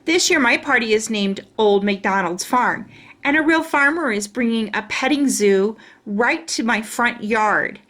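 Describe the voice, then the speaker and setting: A woman voicing a mean, bragging young schoolboy in a children's storybook, loud and taunting. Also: fairly high pitch, heavily acted. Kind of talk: children's storybook read-aloud.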